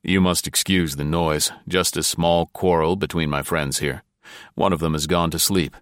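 A male narrator playing a tough, cool-headed American agent who speaks low and deliberately. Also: fairly even intonation, a bit bright, no echo, clean studio sound.

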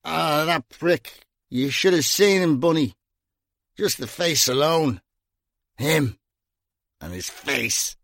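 A man playing a drunk, bitter man whose words come out slurred and drawn-out. He is sarcastic and raised in pitch, and he drags every phrase slowly. The sound is dry and close. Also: lively intonation, gravelly, fairly bright tone, no room sound, heavily acted. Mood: drunken.

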